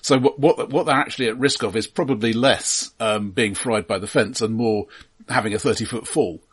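Husky, stammering man explaining things. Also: mid-range voice, normal speed. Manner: hesitant, stammering, repeats words; pedantic, explanatory. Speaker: film podcast co-host. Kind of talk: casual film discussion with explanatory tangents.

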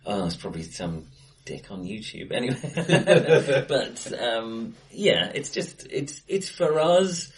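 A middle-aged man chatting casually and wryly about films as a podcast co-host, recorded in a bright, echoey room. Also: mid-range voice, lively intonation, slow pace. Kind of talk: casual film chat. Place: face to face in an acoustically bright room.

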